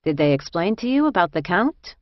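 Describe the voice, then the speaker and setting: A woman's voice: lowish voice, big pitch swings, brisk, no room sound, dull audio. A woman voicing a tired, helpful fifty-ish female inmate with a New Jersey accent, matter-of-fact, in audiobook dialogue.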